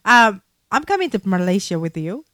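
A woman with a Japanese accent, co-hosting a casual chat show, playful and quick to laugh. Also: medium pitch, sing-song, a bit bright, dry recording, studio quality. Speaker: podcast co-host.

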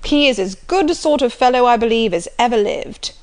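Woman reading as a loud jovial squire, middle-aged man, raised hearty voice, emphatic. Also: a little high, expressive, fairly quick, close to an everyday voice.

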